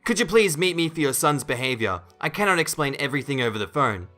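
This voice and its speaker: Man acting a loud, stern school disciplinarian. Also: on the high side, sing-song, fast talker, soft background music, pitched above the performer's own voice. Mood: insistent. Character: stern, biased male school disciplinary monitor, accusing.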